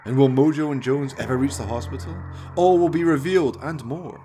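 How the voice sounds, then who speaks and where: A man's voice: mid-range voice, fairly quick, warm tone, faint music behind. A man narrating a comic horror radio play as scripted storytelling, in a melodramatic, mock-suspenseful manner.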